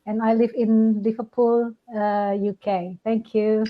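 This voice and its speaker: A woman from Indonesia, a wife and mother, a guest on an online panel, brisk and upbeat. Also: a little high, little pitch movement, phone-quality sound.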